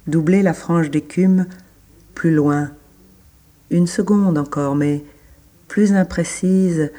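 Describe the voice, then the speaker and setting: A man with a fairly high, mellow voice recites literary prose. He moves very slowly, setting down each short phrase on its own and leaving space before the next. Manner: measured, pausing between short phrases. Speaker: reader in a radio literary production.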